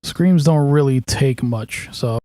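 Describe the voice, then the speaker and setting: A man's voice: mid-range voice, takes it slowly, dark tone, dry recording. Man, mixing engineer, casual walkthrough, filler-heavy thinking aloud, dry close mic. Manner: thinks aloud, loose and offhand with many fillers.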